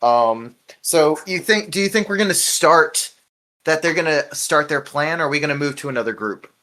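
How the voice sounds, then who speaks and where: A man's voice: a little high, lively intonation, normal speed, bright, forceful tone. Rambling male podcast host, chatty. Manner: rambling, chuckles as he talks. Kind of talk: casual podcast chat.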